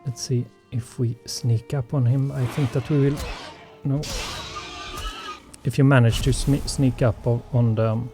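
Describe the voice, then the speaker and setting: A man's voice: mid-range voice, steady tone, music in the background. A man whose first language is Swedish, commenting on his survival gameplay in a hesitant way full of ums and uhs. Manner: slow, hesitant, many fillers. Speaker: gaming channel host.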